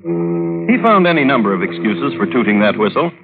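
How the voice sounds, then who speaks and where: An actor playing a dry, droll connoisseur of crime, hosting and narrating in ornate, wry, deliberate old radio style. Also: male voice, medium pitch, big pitch swings, creaky. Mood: wry, measured. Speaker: host and narrator. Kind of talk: 1950s radio scripted narration. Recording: old narrowband radio recording with music.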